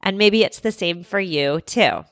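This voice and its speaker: Hoarse woman with a cold hosting a podcast. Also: fairly low pitch, a little slow, fairly bright tone, no echo. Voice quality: scratchy, gruff. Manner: conversational, candid, lightly self-mocking. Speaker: podcast host. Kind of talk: scripted podcast monologue.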